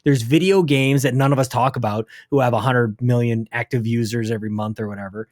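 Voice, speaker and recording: A man hosts a gaming podcast in a relaxed conversational tone, thoughtful and reflective as he talks. His voice sits at a middle pitch, and the recording is dry and close. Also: a little fast, dry recording. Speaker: podcast host. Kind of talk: casual conversation.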